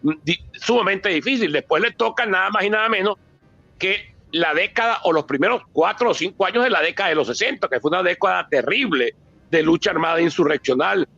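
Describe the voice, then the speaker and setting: High, bright-voiced Venezuelan man. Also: fairly quick, gravelly, slightly rough, no room sound, highs cut off. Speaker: author and politician speaking at a book presentation. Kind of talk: extemporaneous historical talk.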